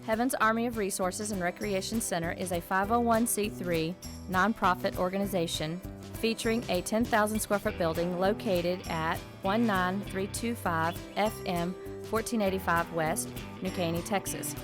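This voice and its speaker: A woman's voice: mid-range voice, normal speed. A woman announcer reading a scripted sponsor message. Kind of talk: scripted advertisement narration. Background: music.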